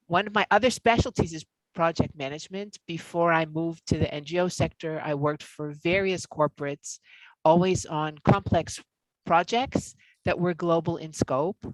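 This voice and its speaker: A woman's voice: sits low, no room sound, rough recording. A woman from the United States with an American accent introducing herself fluently on a video call. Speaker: workshop co-host.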